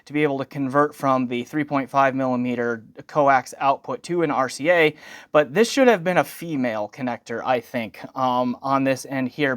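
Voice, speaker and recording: A man's voice: mid-range voice, clear, resonant tone, no room sound, clean studio sound. A man giving an informal product review as a channel host, conversational and wordy with technical asides.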